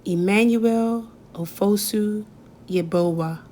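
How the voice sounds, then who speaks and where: A woman reading a children's picture book aloud, gentle and expressive, lifting her voice on key words. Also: medium pitch, takes it slowly, a little hiss or hum. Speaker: storybook reader. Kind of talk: children's read-aloud narration.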